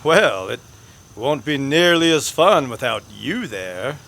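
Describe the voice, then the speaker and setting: Man acting a courtly, lively-pitched Western gentleman. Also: takes it slowly, gravelly, full character voice. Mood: flirtatious. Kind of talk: old-time radio western acting.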